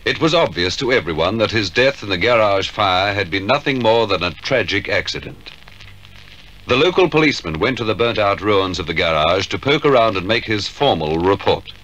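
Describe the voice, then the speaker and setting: A man's voice: on the low side, fairly bright tone. A man giving formal, dramatic scripted narration for a 1950s radio drama. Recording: noisy old 1950s radio recording.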